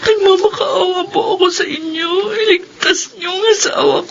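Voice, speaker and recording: A woman's voice: extremely high pitch, steady tone, normal speed, creaky, a little hiss or hum. A woman playing a devoted wife who tearfully pleads and prays for her injured husband. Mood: praying. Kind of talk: Filipino radio drama acting. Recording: lo-fi radio recording with music.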